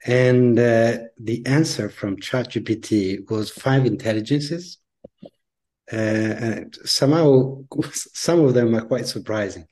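A man's voice: mid-range voice, slow pace, darkish tone. A man from Italy with an Italian accent, answering as an interview guest in thoughtful, hesitant phrases. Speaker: interview guest, leadership coach. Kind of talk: conversational interview.

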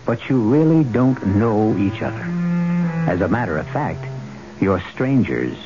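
An actor as the host of an old-time radio mystery, narrating in a brooding, deliberate manner. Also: male voice, on the low side, sing-song, over music. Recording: muffled old radio broadcast.

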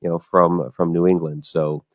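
Male guest in his 50s, measured and easygoing, low voice, dry close audio. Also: level tone, no room sound. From Ohio. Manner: easygoing, measured, stammers on starts. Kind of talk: casual interview.

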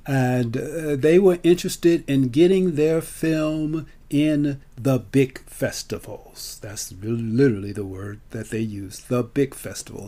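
A man delivers a conversational monologue with theatrical emphasis. His mellow voice swings widely in pitch as he takes his time over each point, leaning on key words and posing rhetorical questions. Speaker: film festival marketer and storyteller. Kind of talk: conversational monologue, personal storytelling.

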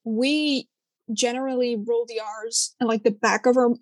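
A young woman speaks English in loose chat, pausing and reaching for words with plenty of fillers. Her voice is a bit high. The sound is dry and close. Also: steady tone, no echo. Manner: searching for words, hesitant with many fillers. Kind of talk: casual chat.

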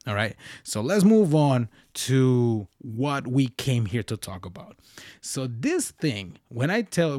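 A man's voice: medium pitch, darkish tone, no room sound, studio quality. Casual young man rambling on a podcast. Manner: rambling, frequent restarts and slang. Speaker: podcast host. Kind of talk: casual solo podcast talk.

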